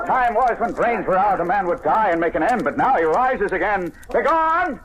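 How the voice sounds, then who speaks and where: A man's voice: high voice, expressive, moderate pace, crowd noise. An actor playing a tormented, ruthless usurper king in a theatrical, declamatory old radio drama style. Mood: horrified, raging. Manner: theatrical, declamatory, swinging from hushed brooding to cries. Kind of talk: classic radio drama acting. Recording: noisy old radio recording.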